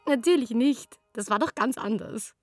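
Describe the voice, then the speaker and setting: A woman's voice: fairly high pitch, expressive, normal speed, soft background music, lightly acted. An actress playing a chatty, wry female storyteller who narrates ancient history in a colloquial, digressive way for a comic radio play.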